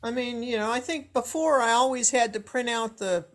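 A woman's voice: fairly high pitch, moderate pace. A woman chatting casually in a group, searching a little for her words.

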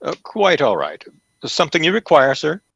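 Stuffy, swooping store clerk. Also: male voice, a little high, huge pitch swings, normal speed, vocal fry, fairly bright tone, breathy edge, dry recording, heavily acted. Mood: aloof politeness. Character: stuffy, aloof, overly genteel store clerk. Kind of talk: old-time radio comedy acting. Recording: dull, dry old radio recording.

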